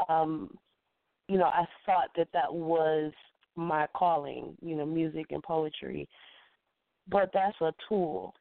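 A congested woman recovering from the flu, speaking deliberately and reflectively as an interview guest who is a poet and educator. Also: sits low, steady tone, vocal fry, no echo. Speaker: featured guest, spoken word poet and educator. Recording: muffled phone-quality call-in line.

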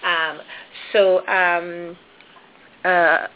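Low-voiced Trinidadian woman, phone-thin audio. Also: little pitch movement, very slow, narrow, tinny audio. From Trinidad and Tobago. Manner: thoughtful, hesitant, many ums and restarts. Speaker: guest. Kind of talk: interview conversation.

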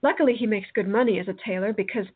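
A woman's voice: mid-range voice, rapid-fire, breathy edge, close to an everyday voice. An actress playing an earnest, bewildered young woman in a radio play, speaking in an open, questioning way. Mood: worried, earnest. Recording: thin phone-like audio.

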